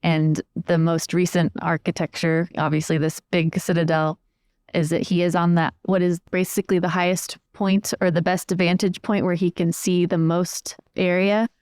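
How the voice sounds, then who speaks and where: A woman's voice: lowish voice, little pitch movement, normal speed, darkish tone, clear, resonant tone, no echo, studio quality. A woman improvising a story in a conversational, chatty way as part of a tabletop role-playing game.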